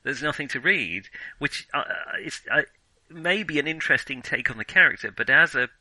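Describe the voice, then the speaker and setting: Very bright, crisp-edged man thinking aloud. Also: on the high side, expressive, normal speed, no room sound, rough recording. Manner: hesitant, thinking aloud, opinionated. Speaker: film podcast co-host. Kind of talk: casual film discussion.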